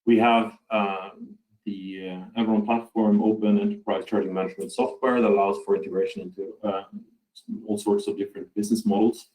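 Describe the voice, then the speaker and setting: Low-voiced man, halting with fillers. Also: steady tone, a little mellow, poor audio. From Norway. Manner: hesitant, many fillers and restarts. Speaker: conference speaker. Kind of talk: online conference presentation. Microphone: video call.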